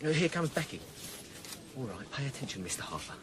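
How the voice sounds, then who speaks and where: An actor playing a cocky, evasive small-time criminal in a sardonic, bluffing manner in a naturalistic radio drama. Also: male voice, medium pitch, big pitch swings, fairly quick, a bit bright, a little hiss or hum. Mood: wary, defiant.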